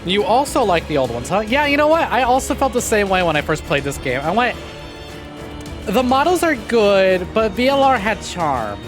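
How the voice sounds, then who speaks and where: A man with a fairly high, very animated voice hosts a game stream. He chats casually and conversationally with viewers, with soft music behind him. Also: normal speed. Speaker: livestream host.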